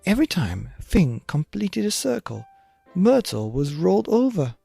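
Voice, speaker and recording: A man narrating a children's bedtime story in an expressive, theatrical and deliberate way. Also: high voice, creaky, slightly rough, no echo, faint music behind. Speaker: podcast narrator.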